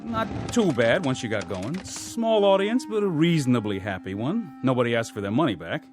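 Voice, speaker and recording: An actor playing a blunt, skeptical, exasperated talent agent in a radio play. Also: male voice, mid-range voice, sing-song, normal speed, over music, natural voice. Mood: dry.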